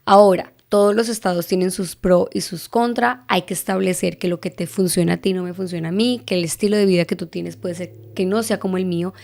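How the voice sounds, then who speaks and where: Gravelly-voiced Colombian woman podcaster. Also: fairly low pitch, fairly even intonation, brisk, few pauses, a little mellow. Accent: Bogotá Colombian Spanish. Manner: chatty, asks listeners for agreement with frequent tag questions. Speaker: podcast host. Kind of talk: casual explanatory podcast talk. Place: living in Texas.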